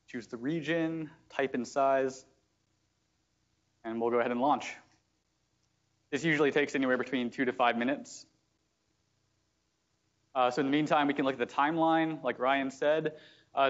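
A man explaining software step by step in a live technical demo at a meetup, with frequent fillers. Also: mid-range voice, highs cut off. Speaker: software engineer giving a product demo. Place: meetup room at a company office.

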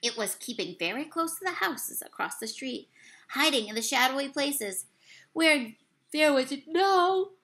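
A young woman, a teacher, reading a children's book aloud at home into a webcam, building suspense and pausing to correct slips. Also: high-pitched. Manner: expressive storytelling, swells and hushes for suspense; apologizes for slips and losing her place. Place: small room at home, plain wall behind. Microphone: webcam at desk distance.

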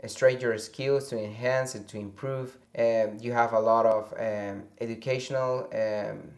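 A young man from Venezuela with a Venezuelan accent, a native Spanish speaker answering interview questions haltingly, searching for words, on a lapel mic indoors. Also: mid-range voice, steady tone. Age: young adult. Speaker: interview guest. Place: indoor room with a fireplace, seated.

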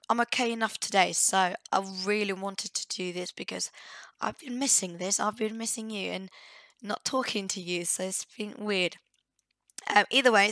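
A woman with a croaky, cold-hoarse voice, giving casual, hesitant let's play commentary as she thinks aloud. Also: mid-range voice, a bit bright, no room sound. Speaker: gaming video host.

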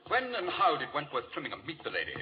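An actor playing a brisk, incisive English gentleman detective in 1940s radio drama, clipped and dry. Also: on the high side, wildly swooping pitch. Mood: brisk, inquisitive. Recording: dull, faintly noisy old radio recording.

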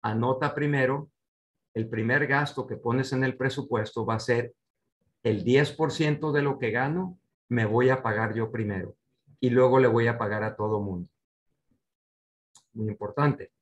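An older man with a mid-pitched voice teaches an online seminar as a coach. He addresses listeners directly, giving short instructions and posing rhetorical questions. He is heard through a computer microphone on a video call. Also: normal speed. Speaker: personal finance coach. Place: indoor room at home, on a video call.

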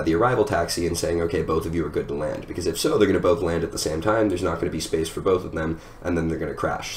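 A young man in his twenties giving a casual technical explanation as a teacher, recorded in a room at home. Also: low voice. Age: 20s. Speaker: software engineer teaching a design lesson. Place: small room at home, indoors. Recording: echoey room with background noise.

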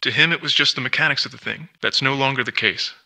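An actor playing a guarded, paranoid scientist in a video game, speaking in formal, grave, reflective monologue. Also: male voice, medium pitch, quick pace, vocal fry, bright, forceful tone. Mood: brooding, reflective.

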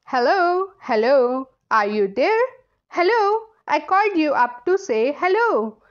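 A woman acts out an earnest creature from a storybook, talking on a telephone. It exclaims in a high, very animated voice with clear emphasis. The recording is a bit dull. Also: takes it slowly, heavily acted. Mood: earnest, exclaiming.

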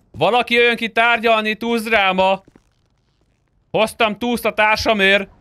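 A man's voice: sits high, bright, forceful tone, clear, resonant tone, dry recording. A man playing an online roleplay game, talking in an informal, pushy way. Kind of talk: in-character gameplay chat.

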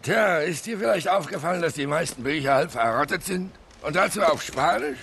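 An actor playing a gruff, wry old treasure hunter who likes telling boastful stories, in a game dub. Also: male voice, a little high, expressive, a little fast, fairly bright tone, slight background noise. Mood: wry, nostalgic. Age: older. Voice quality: gravelly. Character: gruff, wry old male treasure-hunting rogue, big talker. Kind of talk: German game dub acting.

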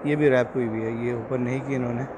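A man in his thirties giving a casual walking tour of a large museum hall, pointing out exhibits in a loose, halting way on a handheld phone. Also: medium pitch, fairly even intonation. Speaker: travel vlogger. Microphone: phone held at arm's length with earphone mic. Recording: loud hall background noise.

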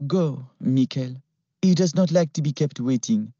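A man's voice: medium pitch, unhurried, dark tone, no echo, highs cut off, voice pushed up, natural voice. A man voicing a cold, menacing young Frenchwoman. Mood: coldly commanding. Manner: clipped, cold commands. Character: stern young French woman, cool and menacing.

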